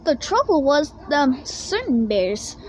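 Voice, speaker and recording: A woman with a high-pitched voice reads a children's picture book aloud at a slow pace. The reading is halting, with stumbles and misread words. There is faint noise in the recording. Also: sing-song, a little mellow. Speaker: storybook reader.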